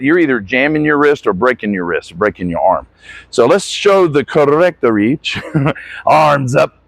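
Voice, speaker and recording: Animated, high-pitched male gymnastics coach. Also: vocal fry, no echo. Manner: encouraging, corrective asides to athlete. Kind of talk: hands-on instruction with demonstration.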